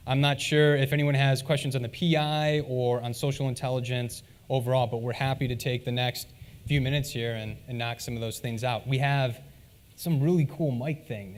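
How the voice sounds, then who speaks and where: Guy presenting at a conference, middle pitch, laid back, lots of ums. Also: normal speed. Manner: relaxed and conversational, frequent "um"s. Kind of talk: conference talk with audience Q&A. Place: conference hall stage.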